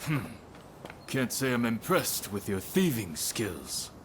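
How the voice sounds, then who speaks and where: A man's voice: mid-range voice, very animated, slow pace, fairly bright tone, a little hiss or hum. A man voicing a stern, disdainful video game boss with a scornful, commanding manner. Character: stern, disdainful armed boss giving orders.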